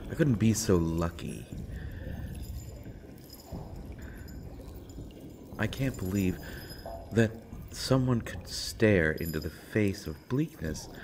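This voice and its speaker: A very low-voiced, sardonic male villain acted by a man speaks slowly from his prison in an audio roleplay. He taunts theatrically, drawing out phrases with mocking pauses, over clearly audible background noise. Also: darkish tone, exaggerated voice. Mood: bitterly amused. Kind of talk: scripted audio roleplay acting.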